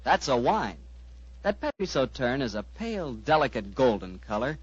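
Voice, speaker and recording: Animated American radio announcer, muffled recording. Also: male voice, medium pitch, moderate pace, breathy edge, dry recording. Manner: folksy, emphatic salesmanship. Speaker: radio announcer and sponsor pitchman. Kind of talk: 1940s radio commercial announcing.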